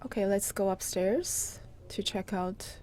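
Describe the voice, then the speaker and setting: A woman reporter giving an unscripted live tour on location, in a halting, hesitant way with pauses mid-phrase. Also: mid-range voice, a little mellow. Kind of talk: unscripted live walk-through narration. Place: on location inside an old house. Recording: clearly noisy on-location live recording.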